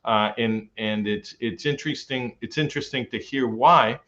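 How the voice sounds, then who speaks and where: A male interview host putting questions to his guest, halting and repeating words as he frames them. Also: medium pitch, sharp tone. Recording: dull lo-fi sound.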